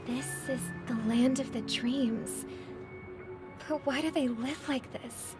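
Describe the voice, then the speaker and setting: An idealistic, thoughtful young woman in a game. Her high voice is soft and earnest, unhurried in pace, with loud music behind it. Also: fairly even intonation. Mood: earnest questioning. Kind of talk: video game cutscene acting.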